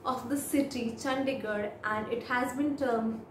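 A woman in her thirties with an Indian English accent, reading exam questions aloud and answering them as model replies, in steady, formal, rehearsed phrasing. She speaks close to a camera in a small room. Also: on the high side, a little slow, reverberant, a little hiss or hum. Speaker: IELTS speaking practice demonstrator.

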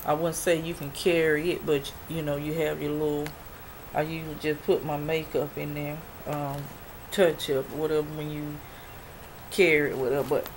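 A woman in her forties showing off mail she received, chatty and rambling with many ums, her voice a little worn. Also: low-pitched. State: losing her voice. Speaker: product review vlogger. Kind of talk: casual show-and-tell haul video. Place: small dim bedroom, indoors. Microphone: built-in webcam mic at arm's length. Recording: noisy webcam recording.

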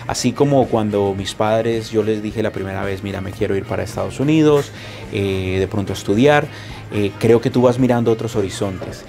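Measured Colombian man, low pitch. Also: a little mellow. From Bogotá, Colombia. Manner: measured, hesitant with many fillers. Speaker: hockey color commentator. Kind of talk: interview answers. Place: locker room, indoors.

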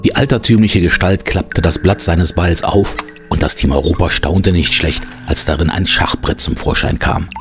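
A man's voice: low voice, normal speed, music in the background, natural voice. A man narrating an audio drama in scripted storytelling style.

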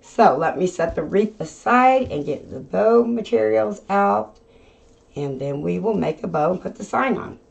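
A woman narrates her craft tutorial in a relaxed, slow voiceover. She explains each step plainly, with the odd filler. Her pitch sits in an ordinary middle range. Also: vocal fry, dull audio. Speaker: craft channel host. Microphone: voiceover mic.

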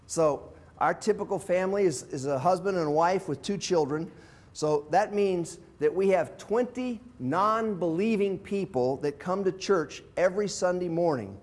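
An older man, around sixty, a pastor giving an informal, plain-spoken teaching talk to a group, explaining things step by step. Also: high-pitched. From from abroad, visiting South Africa. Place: indoor classroom with whiteboard and screen. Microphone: lapel mic.

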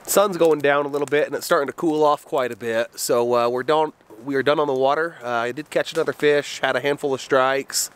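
A man in his forties giving a relaxed, rambling camp update outdoors, talking close to a handheld camera. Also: mid-range voice, fairly quick, hardly any pauses, smooth. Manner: relaxed, chatty, rambling with fillers. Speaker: backpacking vlogger. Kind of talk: casual outdoor vlog. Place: outdoors in a windy mountain forest camp. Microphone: camera held close. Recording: faint wind noise outdoors.

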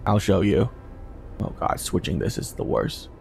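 A man's voice: sits low, big pitch swings, moderate pace, gravelly, a little mellow, soft background music. A man casually commenting on his video game run, with chatty and exasperated asides. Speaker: gaming channel host.

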